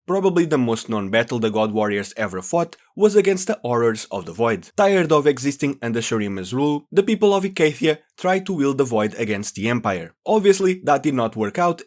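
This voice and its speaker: Animated male explainer narrator. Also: mid-range voice, a little fast, hardly any pauses. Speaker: video presenter.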